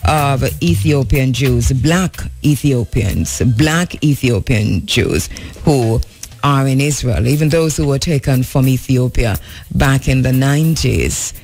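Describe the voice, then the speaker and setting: A woman's voice: extremely low pitch, slow pace, darkish tone, soft background music. A woman hosting a talk radio show from the studio, speaking earnestly with frequent fillers and restarts. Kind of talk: talk radio commentary and interview.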